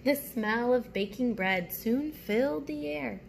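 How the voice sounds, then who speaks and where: A woman reading a picture book aloud as a teacher, with simple, deliberate phrasing and asides to young listeners. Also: fairly high pitch, gravelly, a little hiss or hum.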